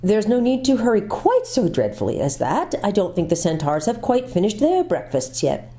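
A woman's voice: medium pitch, wildly swooping pitch, normal speed, mellow, voice pushed up. A woman voicing a polite, eager young male fawn who talks in a chatty, earnest way.

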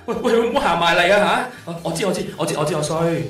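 A man with a Hong Kong Cantonese accent playing a stingy, sharp-tongued middle-aged boss in a radio drama. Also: high voice, expressive, quick pace. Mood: terrified. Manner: brusque, dismissive, stammers when frightened. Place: small padded radio studio. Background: music.

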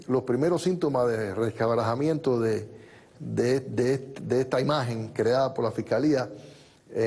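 A man in his fifties, a guest in a studio TV interview, recounting events in steady detail on a lapel mic. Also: mid-range voice, slightly rough. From born in the United States, raised in Cuba.

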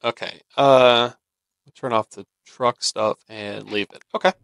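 A man's voice: medium pitch, fairly even intonation, unhurried, vocal fry, slight background noise. Easygoing American man doing game commentary. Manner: easygoing, thinking out loud. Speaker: gaming video host. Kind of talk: casual let's-play commentary.

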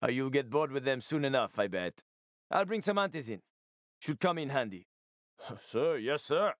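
Casting: male actor as roguish middle-aged game survivor, wry banter, medium-pitched animated delivery, dry studio sound. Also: moderate pace, dry recording, close to an everyday voice. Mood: playful banter. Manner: sardonic, casual, slangy banter with swearing. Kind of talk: video game character acting.

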